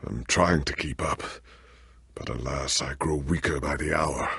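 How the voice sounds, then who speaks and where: Casting: noble veteran swordsman for a game; formal, earnest, theatrical, raspy, unhurried delivery with forceful edge. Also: dry recording, clean studio sound, heavily acted. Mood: weakened, struggling. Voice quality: deep, gravelly, raspy. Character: noble veteran warrior hero, proud, earnest, ailing. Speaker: voice actor in a video game. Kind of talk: dramatic video game dialogue.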